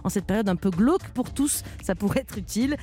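A woman hosting a radio health show, introducing callers and guests in a lively, conversational way. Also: medium pitch, a little fast. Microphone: studio mic.

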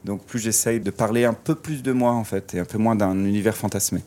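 A man's voice: lowish voice, brisk, mellow. A man guest in a radio interview, speaking reflectively with many hesitations and restarts, through a surgical mask. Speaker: musician guest. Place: outdoors in a city street. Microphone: wearing a surgical mask.

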